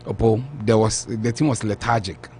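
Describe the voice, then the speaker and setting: A man's voice: on the low side, level tone, normal speed, darkish tone, a little hiss or hum. A man giving emphatic, critical sports analysis as a radio guest.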